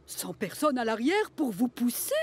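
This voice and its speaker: A woman voicing a cheerful, polite female diesel locomotive in a French cartoon dub, gentle and earnest. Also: on the high side, big pitch swings, normal speed, slightly rough, heavily acted. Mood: polite, concerned.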